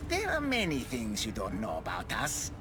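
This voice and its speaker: An actor playing an imperious, impatient underworld guardian in a video game, sardonic and commanding. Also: male voice, fairly low pitch, huge pitch swings, normal speed, noisy background. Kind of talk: video game dialogue acting.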